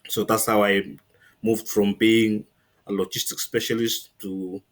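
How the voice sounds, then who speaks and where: A man's voice: fairly low pitch, little pitch movement, unhurried. A middle-aged man with a Kenyan accent, giving a conversational account as an interview guest and often adding "you know" as he explains. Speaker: interview guest, former navy linguist.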